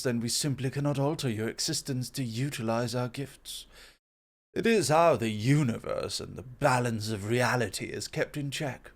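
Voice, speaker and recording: A man voicing a calm, reasoning male god in an ASMR roleplay, measured and explanatory with gentle amusement. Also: mid-range voice, close to an everyday voice. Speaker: guest voice actor.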